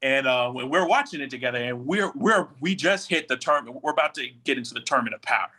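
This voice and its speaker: A man's voice: mid-range voice, lively intonation, quick pace, pushed and bright. A man speaking as a panelist in a casual fan discussion, explaining his points conversationally. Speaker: podcast panelist.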